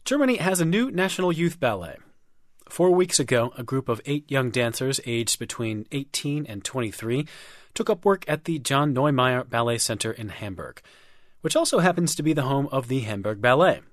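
News anchor guy reading an intro, crisp, dry studio. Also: mid-range voice, lively intonation, moderate pace, no room sound. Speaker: news presenter.

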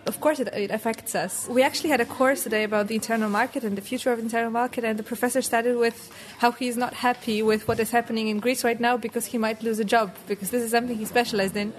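Young woman student, passionate, slightly high voice. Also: steady tone, quick pace, few pauses, a little hiss or hum. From Poland. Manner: earnest and passionate.

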